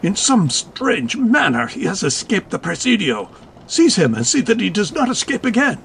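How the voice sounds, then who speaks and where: A man's voice: high-pitched, wildly swooping pitch, normal speed, vocal fry, fairly bright tone, slight background noise, heavily acted. A man playing an arrogant, desperate military captain who gives imperious orders in a dramatized audiobook. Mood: imperious shouting. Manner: sneering, imperious, commanding.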